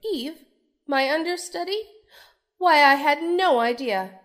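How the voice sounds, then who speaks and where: A woman's voice: sits high, unhurried. A woman playing a proud, jealous, middle-aged stage actress with biting sarcasm in a theatrical radio drama style. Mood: feigned sweetness. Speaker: voice actor in an audio drama. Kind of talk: theatrical old-fashioned radio drama acting.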